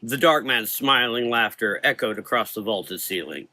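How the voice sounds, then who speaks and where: A man's voice: medium pitch, moderate pace, sharp tone, dry recording. A man reading scripted gothic horror narration as the storyteller.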